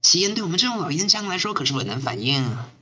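A woman's voice: lowish voice, big pitch swings, fast, noisy background. A woman playing a sardonic, weary, foul-mouthed smoker on a riot squad in an amateur radio drama, with a teasing, griping manner.

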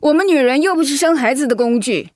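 A woman's voice: high-pitched, lively intonation, quick pace, no echo, clean studio sound. A woman acting a protective middle-aged mother-in-law in a radio drama, outspoken and motherly. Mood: indignant. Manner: indignant, emphatic when provoked, motherly.

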